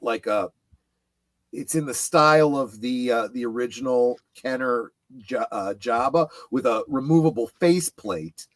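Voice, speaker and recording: Male panel guest, mid-pitched, explanatory and halting, recorded very dry. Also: a bit bright, no echo. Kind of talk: casual explanatory fan discussion.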